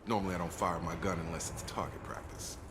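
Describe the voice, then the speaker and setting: An actor playing a steady, loyal young soldier in a video game, plain-spoken and informal with an American accent. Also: male voice, sits low, fast talker, noisy background, natural voice. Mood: matter-of-fact, explaining. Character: steady, loyal male soldier and station security chief.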